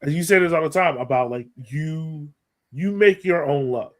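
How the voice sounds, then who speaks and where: A man hosting a sports podcast, talking through a match in an animated, casual way and often checking his points with a quick "right?". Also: a little high. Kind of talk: casual match analysis chat.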